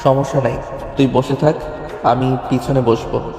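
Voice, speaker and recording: Man as calm devout college boy, restrained, monotone delivery, audio story, echoey. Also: medium pitch, unhurried, close to an everyday voice. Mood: polite, calm. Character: calm, principled, devout young male college student. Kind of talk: Bengali audio story acting. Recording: echoey long reverb, music bed.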